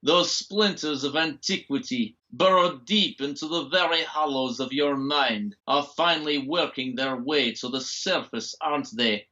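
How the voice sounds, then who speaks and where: An actor playing a menacing, mocking doctor who speaks theatrically and relishes cruel revelations in an audio drama. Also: male voice, fairly high pitch, measured pace, few pauses, sharp tone, dull audio. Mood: taunting.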